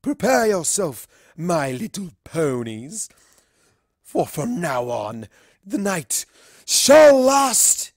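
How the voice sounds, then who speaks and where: A woman voicing an imperious evil princess mare and stern mother in a fan fiction reading, pompous, slow and grandiose. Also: lowish voice, big pitch swings, no echo, clean studio sound, full character voice.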